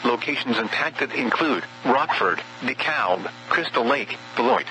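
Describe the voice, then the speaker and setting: A synthetic man's voice reading scripted weather warning bulletins over radio in a measured, impersonal way. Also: medium pitch, animated, bright, forceful tone, a little hiss or hum, poor audio. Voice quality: synthetic text-to-speech voice. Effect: weather radio broadcast. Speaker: automated weather radio announcer.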